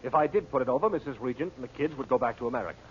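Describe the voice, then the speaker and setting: A man's voice: mid-range voice, animated, very fast, lightly acted. Man as a cynical henchman, muffled radio serial. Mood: argumentative. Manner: blunt, colloquial, argumentative. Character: cynical male henchman posing as reporter. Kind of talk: 1940s radio serial acting. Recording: muffled, noisy old radio recording.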